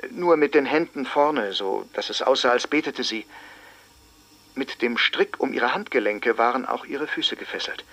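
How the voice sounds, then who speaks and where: An actor playing a sober small-town schoolteacher in a radio drama, giving grave, deliberate witness testimony with heavy pauses. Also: male voice, mid-range voice, animated, a bit bright. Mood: grave, stunned.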